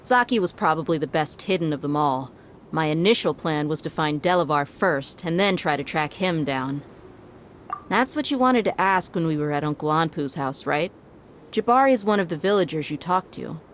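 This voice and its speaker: A cocky, sly mercenary. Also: female voice, low voice, expressive, normal speed, slight background noise. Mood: smug. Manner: cocky, teasing, laid-back. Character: confident female desert mercenary, sly and unbothered. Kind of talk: video game cutscene dialogue.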